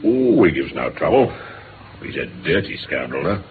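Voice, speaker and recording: A deep-voiced British police inspector, heard on a narrow old radio recording. He is bluff, genial and obliging, rolling along chattily with lively intonation in 1950s radio drama manner. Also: moderate pace, a little hiss or hum. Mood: chatty, scornful.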